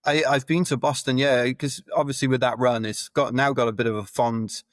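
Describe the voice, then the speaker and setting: A man's voice: mid-range voice, fairly even intonation, moderate pace, no room sound, clean studio sound. A man in his forties, a guest on a podcast, telling his story in a rambling way that keeps correcting itself. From Wales. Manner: rambling, self-correcting, chuckles while telling stories. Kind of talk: casual podcast interview.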